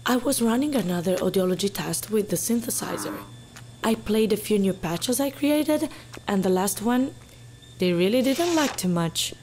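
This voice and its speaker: Actress as female scientist, rattled, halting, self-deprecating, mid pitch, audio drama, faint background noise. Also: animated, darkish tone, lightly acted. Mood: analytical. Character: scientist woman, rattled, dazed but analytical doctor. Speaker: scientist character.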